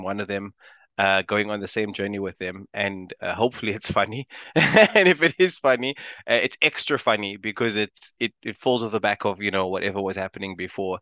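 A man's voice: on the low side, bright, forceful tone, clean tone, no room sound. A man from South Africa with a South African accent, a stand-up comedian chatting casually and laughing often as an interview guest.

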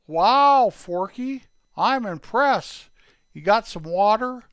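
A man's voice: sits high, animated, slow pace, fairly bright tone, clean tone, dry recording, pitched above the performer's own voice, heavily acted. A man doing wide-eyed boy Earl. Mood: curious. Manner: ends nearly every sentence with his pal's name. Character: wide-eyed young boy Earl, easily impressed tagalong.

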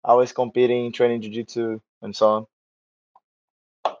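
A man in his twenties from Brazil with a Portuguese accent, a podcast guest who is sick with a sore throat, speaking in halting English with fillers. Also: mid-range voice, level tone, darkish tone, highs cut off. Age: 20s. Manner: halting non-native English with filler words. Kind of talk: casual interview chat.

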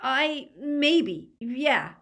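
A woman's voice: high-pitched, sing-song, slow pace, fairly bright tone, pitched above the performer's own voice, heavily acted. A woman voicing a reluctant, fearful teenage boy in an audiobook, hesitant and evasive. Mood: reluctant, uneasy. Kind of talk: audiobook character acting.

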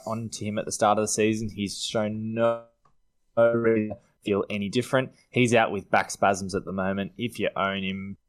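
An Australian man co-hosting a sports podcast, giving injury analysis in a matter-of-fact, clinical way. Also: lowish voice. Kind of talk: fantasy rugby league analysis.

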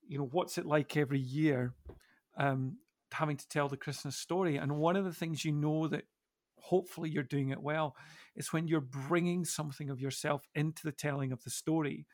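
A middle-aged man speaking as a podcast guest in a reflective discussion, thoughtful and halting, with deliberate pauses. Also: fairly high pitch, fairly even intonation, a little mellow, no room sound, clean studio sound. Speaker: podcast guest and church minister.

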